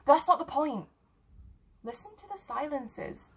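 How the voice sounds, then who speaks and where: A woman voicing an excitable, uneasy teenage girl in a short horror story, with hesitant, casual slangy speech. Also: high-pitched, unhurried, voice pushed up. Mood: eager, insistent.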